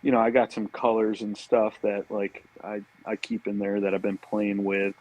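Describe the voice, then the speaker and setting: A man talks fishing in a casual, laid-back style full of slang. His voice is fairly low and husky, and he often repeats himself as he goes. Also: flat delivery, moderate pace, a little mellow, dry recording, rough recording. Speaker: podcast guest, fishing tackle marketer.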